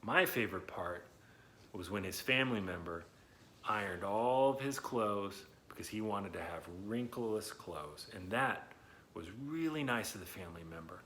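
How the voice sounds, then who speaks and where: A man's voice: on the low side, expressive, moderate pace, fairly bright tone, a little hiss or hum. A man reading and talking to young children in an enthusiastic, encouraging way, speaking to them directly and stretching words for emphasis. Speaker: children's story reader and host. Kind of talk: children's read-aloud and talk to young viewers.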